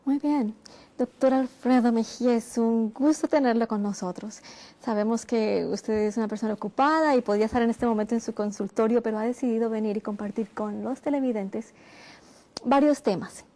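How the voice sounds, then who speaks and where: Woman, TV health show host, mellow, slightly high voice, earnest and gentle delivery. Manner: gentle, earnest, drawn-out emphasis. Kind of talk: hosting, interviewing.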